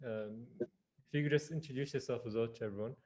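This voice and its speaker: A man's voice: mid-range voice, brisk, a little mellow. A man hosting an online meeting, introducing the next speaker in a casual, hesitant way with many fillers. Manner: hesitant, filler-heavy, laughing. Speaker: host.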